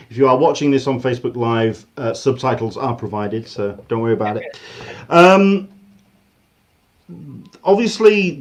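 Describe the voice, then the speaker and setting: A man with an English accent talks through a casual livestream from his home, rambling and doubling back mid-sentence. His voice sits in an ordinary middle register and comes through a video call. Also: medium pitch. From England. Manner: rambling, restarts often, animated. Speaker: host. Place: home room. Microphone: video call with earphones.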